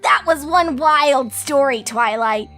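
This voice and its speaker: A woman's voice: high voice, takes it slowly, fairly bright tone, faint music behind, voice pushed up. A woman voicing a tomboyish young filly who sounds nervous and disbelieving.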